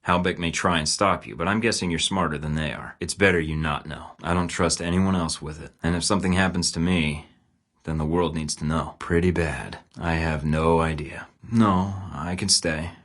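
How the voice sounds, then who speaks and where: A man's voice: low voice, fairly even intonation, moderate pace, fairly bright tone. An actor playing a terse, guarded male secret agent in a video game, with dry, clipped, sardonic delivery.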